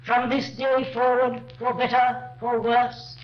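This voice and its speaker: A solemn clergyman in an old radio drama. He intones very slowly in a high voice, with heavy echo on a muffled recording. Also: monotone. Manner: slow, ceremonial intoning. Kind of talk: 1950s radio drama acting.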